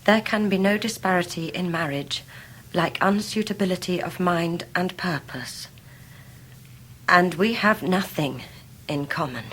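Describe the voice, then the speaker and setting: An actress playing a devoted, dignified young Victorian wife in a period radio drama, fervent and formal in delivery. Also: female voice, on the low side, unhurried, fairly bright tone, husky. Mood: fervent, sincere.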